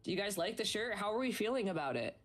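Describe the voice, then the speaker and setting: A woman's voice: medium pitch, very animated, quick pace, faint music behind. A woman casting an esports stream, chatting in a bubbly, upbeat way with her co-caster. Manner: bubbly, chatty, promotes merch. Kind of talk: casual esports stream commentary.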